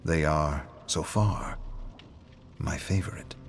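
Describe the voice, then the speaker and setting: An actor playing a grave, brooding storyteller, narrating dark tales in a measured, theatrical delivery. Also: male voice, a bass voice, soft background music, full character voice. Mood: wry, musing. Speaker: narrator of game lore logs. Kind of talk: dramatic scripted narration.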